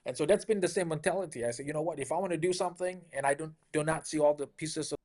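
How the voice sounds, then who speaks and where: A man's voice: fairly high pitch, fast, a little mellow. A middle-aged man from Malaysia, a car dealership owner, telling stories in an upbeat, conversational interview style with frequent "you know" fillers.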